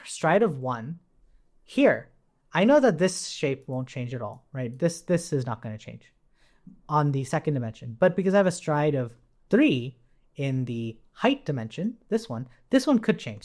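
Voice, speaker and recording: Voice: medium pitch, a little mellow. An instructor giving an informal live coding tutorial, thinking aloud while typing and often checking in with the listener, with keyboard sounds behind. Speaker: programming instructor. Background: computer keyboard typing.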